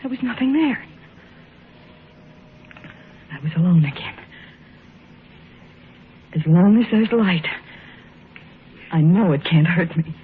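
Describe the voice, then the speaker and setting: A woman's voice: fairly low pitch, expressive, slow pace, pauses often. Scared haunted young lady, melodramatic old radio horror, narrow hissy sound. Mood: fearful. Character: haunted, frightened young woman.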